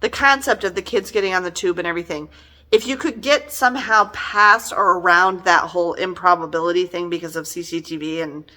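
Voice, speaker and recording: Bright, forceful woman in casual review mode. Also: lowish voice, a little fast, low-quality recording. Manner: animated, exasperated, emphatic. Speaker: book reviewer. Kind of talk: casual conversational book review.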